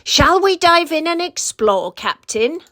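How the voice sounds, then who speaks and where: A woman's voice: very high-pitched, big pitch swings, slow, sharp tone, dry recording, studio quality, voice pushed up, exaggerated voice. A woman voicing a brave, eager young female penguin explorer in a children's storybook, with bold, encouraging calls. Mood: excited, urgent.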